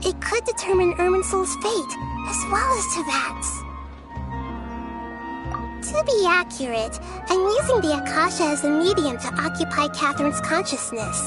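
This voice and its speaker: A woman voicing a gentle, wise young child goddess in a video game, speaking in a measured, thoughtful and formal way. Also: extremely high pitch, lively intonation. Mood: earnest, resolved. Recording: music playing behind the voice.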